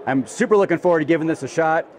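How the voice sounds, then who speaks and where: A man's voice: on the high side, rapid-fire, a little mellow, a little hiss or hum. A man in his thirties hosting a casual product interview, enthusiastic and agreeable as he talks. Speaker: video host interviewing exhibitors. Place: busy indoor expo hall booths.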